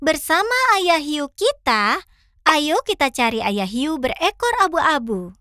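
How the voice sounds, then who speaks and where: A woman's voice: high-pitched, measured pace. A woman voicing a perky, caring young fox who hosts a children's cartoon and talks to the viewers. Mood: cheerful, curious. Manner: animated, clear, addressing children.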